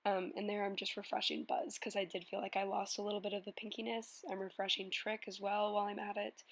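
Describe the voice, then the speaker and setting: A young woman with a slightly hoarse voice from a cold, chatting her way through a makeup tutorial voice-over with plenty of ums. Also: medium pitch. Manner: chatty, relaxed, many ums. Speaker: beauty vlogger.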